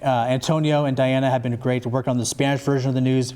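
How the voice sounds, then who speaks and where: A man's voice: medium pitch, flat delivery, fast talker, darkish tone, clear, resonant tone. A young American man anchoring a scripted satirical student newscast. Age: young adult.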